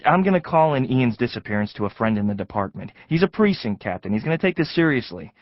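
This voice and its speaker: An actor playing an easygoing, reassuring investigator in an audio drama, casual, wry and steady. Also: male voice, medium pitch, quick pace, dry recording, lo-fi sound, natural voice. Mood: firm, reassuring. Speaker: actor in a radio play. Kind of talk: audio drama acting with noir-like narration.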